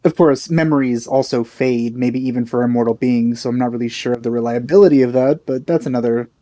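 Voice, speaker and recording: Male let's play host: soft-edged dark tone, dry close sound, halting, thoughtful casual commentary. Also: medium pitch, fairly even intonation, no echo. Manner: musing, hesitant, halting phrasing. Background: game music.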